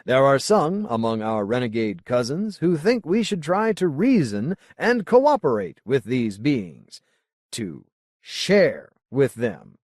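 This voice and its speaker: A man voicing a solemn, zealous colony leader in an audiobook, speaking in a formal, deliberate, oratorical way. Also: mid-range voice, big pitch swings, darkish tone, no room sound, clean studio sound, voice pushed up. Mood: grave, preaching. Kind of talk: audiobook character dialogue.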